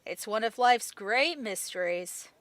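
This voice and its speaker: A woman's voice: on the high side, expressive, a little slow, a bit bright, no echo, studio quality. A woman playing a supportive, teasing teenage best friend in an audio drama. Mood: teasing, encouraging. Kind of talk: fan audio drama acting.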